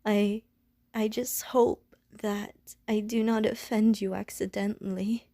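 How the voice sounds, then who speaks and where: A woman playing a gentle, anxious, lonely Medusa who is wary of humans, speaking in careful, formal wording for an ASMR audio roleplay. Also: mid-range voice, level tone, slow, no echo, studio quality. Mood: nervous apology. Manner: formal, careful, stilted wording, pleading and apologetic.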